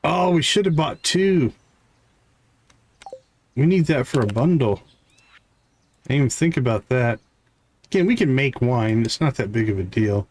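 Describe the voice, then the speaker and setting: A man's voice: medium pitch, lively intonation, measured pace, pauses often, a little mellow. A man doing casual live game commentary, thinking aloud and talking himself through each task step by step. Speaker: live game streamer, host.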